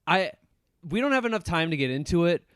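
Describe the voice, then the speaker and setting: A man's voice: on the high side, very animated, brisk, a bit bright, clear, resonant tone, no room sound, studio quality. A man hosting a podcast in casual, sweary conversation about movies. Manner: swears freely. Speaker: podcast host.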